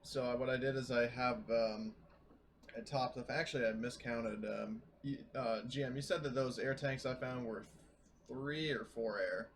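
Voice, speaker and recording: Hesitant man with a creaky voice. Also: medium pitch, fairly even intonation, normal speed, a little hiss or hum. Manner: hesitant, questioning, thinking aloud. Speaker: player in a tabletop roleplaying game. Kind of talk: casual game-session chat.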